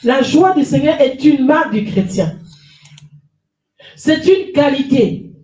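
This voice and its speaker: A woman's voice: sits high, animated, takes it slowly, dark tone. Woman pastor, middle-aged, with a cold; emphatic sermon, handheld mic; echoey hall, distant mic. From France. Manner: emphatic preaching, repeating phrases, calling for amens. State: has a cold. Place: small church hall with stage and lectern. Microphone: handheld mic, far camera.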